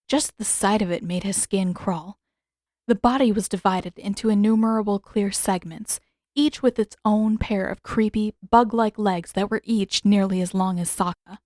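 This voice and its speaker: A woman's voice: medium pitch, darkish tone, no room sound. Woman narrating fiction, very dry sound. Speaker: fiction reader and author. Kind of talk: expressive scripted story narration.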